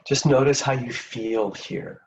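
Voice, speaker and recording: A man leading a guided meditation in a gentle, soothing, unhurried manner with long pauses between phrases. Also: mid-range voice, steady tone, distant mic, rough recording.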